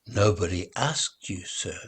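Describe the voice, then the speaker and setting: A man voicing a pert young country milkmaid from a nursery rhyme, giving soft, short, polite replies. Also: sits low, expressive, unhurried, breathy edge, exaggerated voice. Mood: demure, pert.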